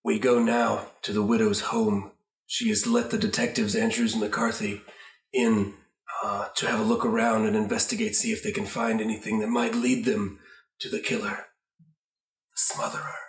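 A man's voice: on the low side, level tone, moderate pace. A man narrating an improvised radio play. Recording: echoey room recording.

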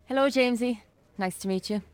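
An actress with an Irish accent playing a friendly, composed, bookish shop worker in a naturalistic radio drama. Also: female voice, a little high, a little fast, clear, resonant tone, close to an everyday voice.

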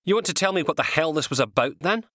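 A man's voice: on the high side, lively intonation, quick pace, bright, forceful tone, no echo, voice pushed up. A man voicing a weary, dry detective sergeant in an audiobook crime story, blunt and matter-of-fact in an official way. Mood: firm, weary.

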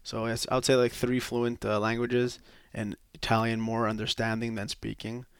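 A young man from Quebec, a hockey player guest, chatting casually in a relaxed, rambling way with lots of fillers. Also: medium pitch, moderate pace, clear, resonant tone, dry recording. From Quebec, Canada. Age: young adult. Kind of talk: casual interview chat.

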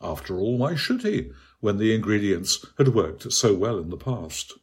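A man reads his own literary essay aloud in a measured, precise, bookish way. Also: lowish voice, very animated, husky. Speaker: author reading own article. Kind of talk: scripted literary essay narration.

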